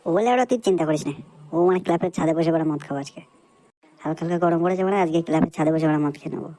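A man's voice: on the high side, rapid-fire, dark tone, close to an everyday voice. A man voicing a dim, stubborn young male freeloader in a crude comedy cartoon, slangy, repetitive and argumentative. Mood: carefree.

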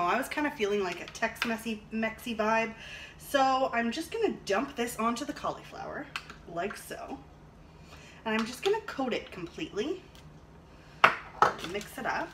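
A woman in her thirties chatting casually and thinking aloud as she cooks at home, recorded on a camera an arm's length away. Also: on the high side. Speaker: vlog host cooking and reviewing a product. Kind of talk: casual chat while demonstrating cooking. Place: home kitchen, indoors. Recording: clattering dishes and clicks, noisy room.

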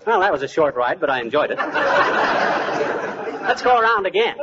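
A man's voice: a little high, very animated, moderate pace, over a noisy crowd. A man playing a cocky, bumbling husband with slangy bluster in a 1940s radio comedy. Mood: bemused, wisecracking. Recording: muffled, noisy 1940s radio recording.

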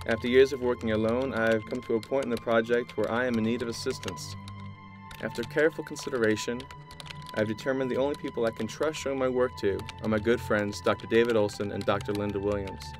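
Audio drama scientist, male actor: man, mid-pitched, grave and measured, proud of his work, faint music underneath. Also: close to an everyday voice. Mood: solemn.

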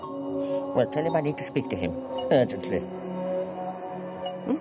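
A man's voice: medium pitch, huge pitch swings, unhurried. A man playing an aloof, cynical medical genius villain in an audio drama, flippant and imperious. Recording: loud music under the voice.

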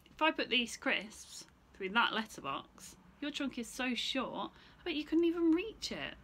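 A woman's voice: high voice, big pitch swings, measured pace, a bit bright, a little hiss or hum, pitched above the performer's own voice, heavily acted. Woman playing a cheeky, taunting young boy. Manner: taunting, daring. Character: cheeky, clever young boy goading animals.

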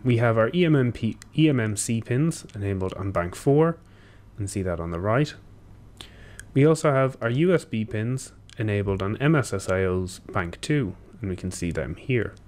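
A young man giving a technical walkthrough, steady and explanatory with occasional ums and self-corrections, recorded at a desk in a small room. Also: lowish voice. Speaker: engineer presenting a tutorial. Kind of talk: technical walkthrough over screen share.

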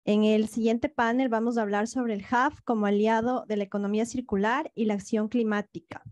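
A woman's voice: on the high side, steady tone, brisk, darkish tone. A woman speaks as the event's emcee, formal and courteous. She thanks the last speaker and calls the next panel to the stage. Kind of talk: announcing at a conference.

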